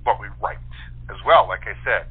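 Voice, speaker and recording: A man talking casually as an interview guest, thoughtful and halting, often rephrasing as he explains his writing. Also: fairly low pitch, breathy edge. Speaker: guest playwright, actor and director. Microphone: telephone call. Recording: tinny phone-line sound.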